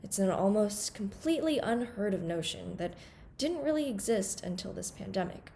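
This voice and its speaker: A teenage woman from Hong Kong delivering a prepared welcome speech at a student conference in an earnest, formal, measured way. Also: medium pitch, expressive, vocal fry, dark tone, a little hiss or hum. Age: teens. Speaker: conference undersecretary general giving a welcome speech.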